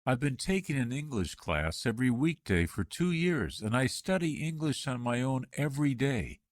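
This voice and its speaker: A man playing a job candidate in a scripted practice interview for English learners, reading clearly. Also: mid-range voice, big pitch swings, moderate pace, dry recording, studio quality.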